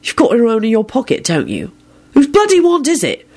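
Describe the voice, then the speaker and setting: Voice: medium pitch, wildly swooping pitch, fairly quick, darkish tone, pitched above the performer's own voice. A narrator voicing a stubborn, awkward young man in a story, nervy and given to swearing. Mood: suspicious, stubborn.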